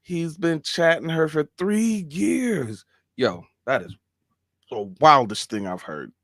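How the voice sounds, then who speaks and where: A man's voice: fairly high pitch, big pitch swings, slow pace, fairly bright tone, dry recording, clean studio sound. A man hosting a reaction show, chatting casually with a co-host and laughing often. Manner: laughing, joking banter.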